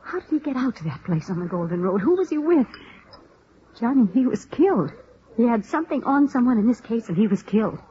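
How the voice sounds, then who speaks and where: A woman's voice: fairly high pitch, big pitch swings, a little fast, mellow. An actress with an American accent playing a grieving, bitter widow in her thirties, brooding and intense, in 1950s radio drama style. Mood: insistent, accusing. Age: 30s. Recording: muffled, hissy vintage radio recording.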